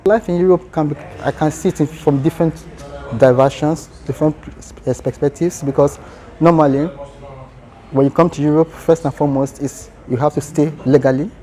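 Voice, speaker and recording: A man's voice: on the high side, fairly even intonation, normal speed, warm tone. A man with a Nigerian accent answering interview questions earnestly, in short phrases that restart.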